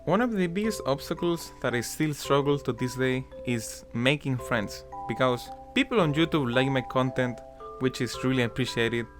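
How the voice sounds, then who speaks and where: A man's voice: medium pitch, expressive, moderate pace. Casual chatty man, improvised commentary. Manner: casual, chatty, frequent "you know" fillers. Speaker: gaming YouTuber. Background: faint guitar music.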